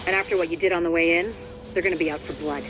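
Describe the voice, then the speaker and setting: An actor playing a serious, determined mission commander briefing an agent in a video game. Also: male voice, fairly high pitch, fairly even intonation, rapid-fire, background noise, close to an everyday voice. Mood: worried, urgent. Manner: serious, urgent.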